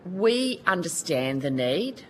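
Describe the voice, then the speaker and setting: A woman's voice: lowish voice, sing-song, takes it slowly, slight background noise. An Australian woman giving earnest, colloquial testimony at a Senate committee hearing. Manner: earnest, colloquial, restarts often. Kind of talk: formal testimony.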